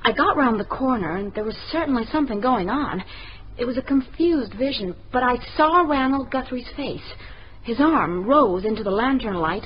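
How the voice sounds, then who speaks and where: A woman's voice: a little high, lightly acted. An actress playing a strong-willed young woman in a radio mystery, direct, articulate and insistent. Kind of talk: radio mystery drama acting. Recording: muffled radio recording, faint noise.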